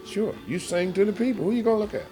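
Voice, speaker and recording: A man's voice: high-pitched, quick pace, warm tone. A man who sings and plays blues guitar, talking in an interview in an emphatic, colloquial, demonstrative way. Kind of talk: casual interview.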